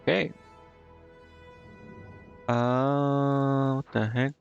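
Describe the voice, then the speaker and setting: A man's voice: medium pitch, flat delivery, drawn-out words, gravelly, highs cut off. A man giving casual live gameplay commentary, muttering and thinking aloud with hesitation. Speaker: game streamer. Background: game music.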